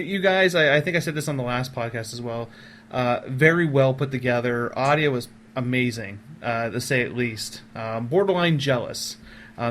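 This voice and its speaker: A man's voice: mid-range voice, a bit bright, slight background noise. A man hosting a casual podcast, talking in an effusive, wordy way as he interviews his guests.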